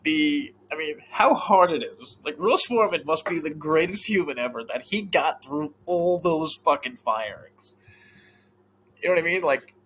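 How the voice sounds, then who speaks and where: A man co-hosting a casual podcast chat, animated and swearing freely, often trailing off mid-thought. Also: a little high, moderate pace, a little hiss or hum, low-quality recording. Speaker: podcast co-host.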